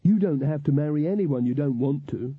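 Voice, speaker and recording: Man as an earnest, husky fairy godmother. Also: a little high, animated, fairly quick. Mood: encouraging. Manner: insistent, hesitant when challenged. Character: earnest, encouraging woman, flustered fairy godmother. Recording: echoey, narrow phone-like audio.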